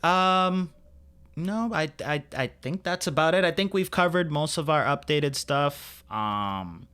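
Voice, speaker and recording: Clear, bright-voiced man chatting casually. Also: a little high, lively intonation, unhurried. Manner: informal, slangy, chatty. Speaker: podcast co-host. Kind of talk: casual chat.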